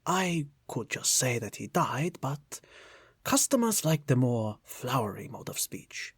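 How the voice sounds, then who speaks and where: A man's voice: medium pitch, big pitch swings, measured pace, slightly rough, dry recording, clean studio sound. A man playing a courteous, guarded shopkeeper with flowery, formal phrasing in an old-time radio style drama. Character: courteous, guarded shopkeeper son, quaintly formal, solemn storyteller.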